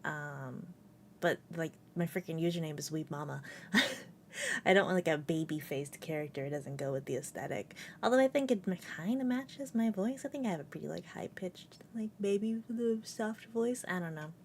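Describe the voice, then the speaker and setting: A woman's voice: lowish voice, lively intonation, moderate pace, slight background noise. A young adult woman streaming from home, chatting casually and giggling often between rambling thoughts. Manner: rambling and giggly, with many fillers. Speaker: VTuber streamer. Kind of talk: casual live-stream chat. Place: at home with a cat on her lap.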